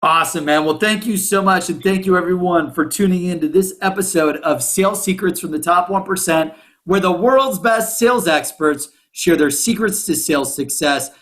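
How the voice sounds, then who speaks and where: A man hosts a casual interview podcast. His voice is a bit higher than most men's, and he speaks in an enthusiastic, slangy, affirming way. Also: normal speed, hardly any pauses, a bit bright. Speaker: podcast host.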